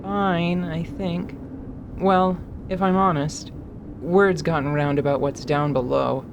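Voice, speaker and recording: A man acts as a timid young follower in a fantasy radio drama. He speaks hesitantly and deferentially, with earnest concern. A clear rumbling ambience sits behind him. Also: steady tone, slow, background noise. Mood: worried.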